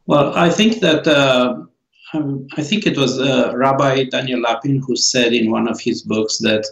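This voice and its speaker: A man's voice: medium pitch, creaky. Romanian-accented male author, forties, hesitant interview guest, roomy sound. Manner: slow, halting, many fillers and restarts. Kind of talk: interview answers.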